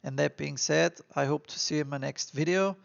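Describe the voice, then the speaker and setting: A man's voice: on the high side, fairly quick, creaky. A man from Austria with an Austrian accent, giving earnest, encouraging advice in a vlog monologue, repeating himself with frequent fillers. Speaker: immigration vlogger. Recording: dull lo-fi recording.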